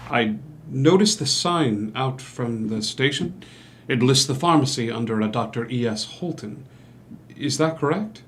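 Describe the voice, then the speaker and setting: Man acting courteous period doctor, unhurried, halting formal speech, subtly ingratiating, stage drama read, noisy background. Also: medium pitch, lively intonation, fairly bright tone. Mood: polite, inquiring. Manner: formal, halting, old-fashioned politeness. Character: courteous, hesitant male doctor newly arrived in town, subtly ingratiating. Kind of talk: musical theatre table read.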